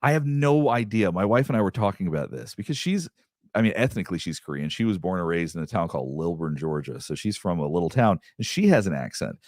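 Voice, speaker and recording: Low-voiced man chatting on a live stream. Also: big pitch swings, fast talker, a little mellow, no room sound, studio quality. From Southeastern United States. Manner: rambling, many restarts and fillers, candid. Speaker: therapist hosting a live Q&A. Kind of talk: casual livestream chat answering viewer questions.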